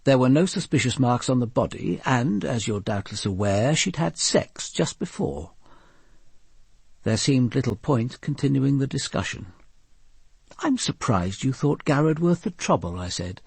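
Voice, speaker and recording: A guarded male investigator narrating a crime drama. His creaky, mid-pitched voice is dry and understated, recorded close and without room sound. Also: lively intonation, a little slow, a little mellow, no echo, full character voice. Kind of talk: first-person crime drama narration with dialogue.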